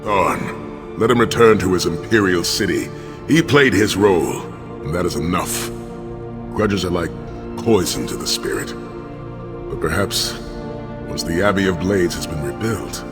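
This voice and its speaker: Casting: stoic, philosophical fighter for a fantasy game; low male voice, measured and formal, music underneath. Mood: earnest, reflective. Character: noble, stoic, philosophical warrior companion. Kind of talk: video game character acting. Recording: long hall reverb, clear music behind.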